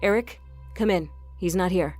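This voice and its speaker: A woman's voice: fairly low pitch, normal speed, darkish tone, distant mic, lightly acted. A woman playing a determined police inspector who narrates her case in an audio crime drama. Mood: composed, narrating. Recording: music behind the voice.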